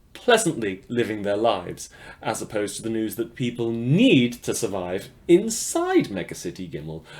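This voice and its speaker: Man acting as small-time radio newsman, highly varied pitch, rambling, self-deprecating, dryly sardonic, post-apocalyptic fiction podcast. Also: darkish tone, close to an everyday voice. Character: awkward, wry small-time radio news host in post-apocalyptic city. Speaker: radio news host. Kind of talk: fiction podcast, mock radio news broadcast.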